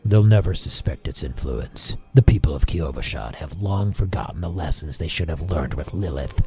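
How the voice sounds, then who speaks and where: A man's voice: a bass voice, animated, moderate pace, warm tone. A man playing a sinister, mocking conspirator in an audio drama, half-whispering with sly, needling delivery. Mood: quietly menacing. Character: sinister low-voiced conspirator, mocking holy men.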